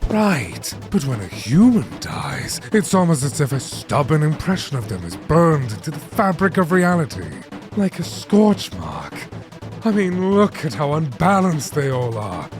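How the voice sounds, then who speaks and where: A man's voice: on the high side, sing-song, unhurried. A man playing an obsessive, brooding skeleton scientist in a dramatic comic dub, with precise, formal diction. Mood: intense explaining. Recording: music behind the voice.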